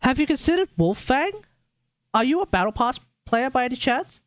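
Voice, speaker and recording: A man's voice: very high voice, sing-song, normal speed, no room sound. A man who streams games, giving casual, slangy commentary and bluntly thinking aloud as he reviews. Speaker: game streamer.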